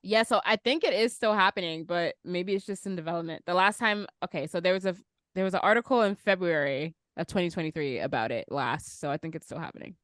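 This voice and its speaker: A woman's voice: on the low side, fast, a bit bright, no echo, clean studio sound. A woman hosting a casual panel chat, speaking informally and asking plenty of questions. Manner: conversational, informal, inquisitive. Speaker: podcast host.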